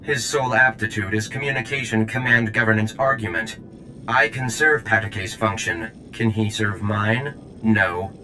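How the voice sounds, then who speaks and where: A man voicing a stiff, haughty alien operator in a video game, speaking in stilted formal phrases with deliberate delivery. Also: fairly low pitch, little pitch movement, noisy background, natural voice. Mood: resentful, stiff. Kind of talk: video game character acting.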